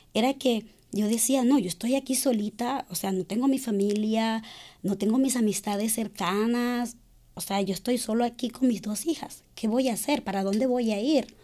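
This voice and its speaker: A middle-aged woman from Ecuador, a guest in an interview, telling her own story hesitantly with many pauses and fillers. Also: a little high, warm tone. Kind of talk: personal account in an interview.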